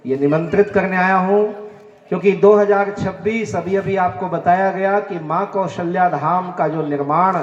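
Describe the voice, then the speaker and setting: A man from Chhattisgarh with a Chhattisgarhi accent preaching to a village gathering, making earnest, direct appeals to the crowd. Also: on the high side. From Chhattisgarh, India. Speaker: religious preacher. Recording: echoey, distant, dull sound.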